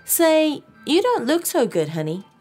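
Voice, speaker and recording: A woman's voice: high voice, wildly swooping pitch, unhurried, a little mellow, soft background music, voice pushed up, full character voice. A woman voicing a sweet, flirtatious shopkeeper with a Southern accent and a syrupy drawl.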